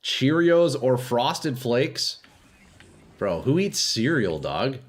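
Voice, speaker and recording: Young gamer dude streaming, stuffy nose, super animated pitch, calls everyone bro. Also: male voice, slow pace. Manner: laid-back and slangy, calls viewers bro and dude. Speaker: game streamer. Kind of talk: casual live-stream chat with viewers.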